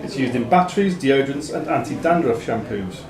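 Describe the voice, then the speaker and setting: A man's voice: medium pitch, animated, fairly quick. Male quizmaster in an echoey, busy pub. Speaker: quizmaster reading questions. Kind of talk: reading quiz questions to players. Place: pub room during a live quiz. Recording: echoey pub room, chatter behind.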